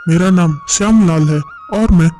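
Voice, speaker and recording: A man voicing a humble, hardworking village farmer in an animated moral story, speaking in an earnest, deferential way. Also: sits high, normal speed, faint music behind, natural voice. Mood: respectful. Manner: earnest, deferential to his master.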